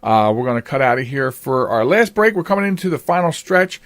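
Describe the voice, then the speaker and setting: A man's voice: medium pitch, sing-song, a little fast, fairly bright tone, no echo. A man hosting a podcast, chatting casually with a guest in a chatty, rambling way. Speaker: podcast host. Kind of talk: casual interview chat.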